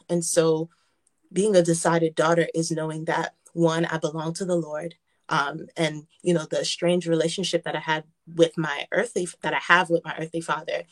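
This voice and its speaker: Low-pitched American-born woman, earnest. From United States. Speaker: gospel singer, radio guest. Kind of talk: conversational interview testimony.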